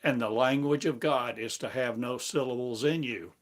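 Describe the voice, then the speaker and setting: A man giving a free-form spiritual sermon, chanting in drawn-out, incantatory phrases for a live stream. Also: mid-range voice. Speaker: preacher. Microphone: live stream device.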